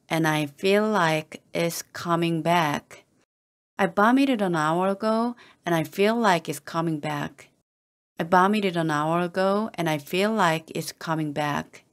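A woman reading short patient sentences slowly and clearly, repeating each one the same way for learners to copy. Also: fairly low pitch. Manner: calm, even and carefully spoken, each sentence said the same way again and again. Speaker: English teacher reading model sentences. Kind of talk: slow, deliberate repeated phrases for learners to copy.

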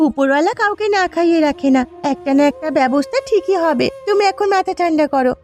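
A woman's voice: extremely high pitch, animated, fast, darkish tone. A woman voicing an optimistic, bossy village wife in a cartoon, speaking in a coaxing, emphatic way over music. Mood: reassuring, urgent. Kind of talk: Bengali cartoon dubbing. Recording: music under the voice.

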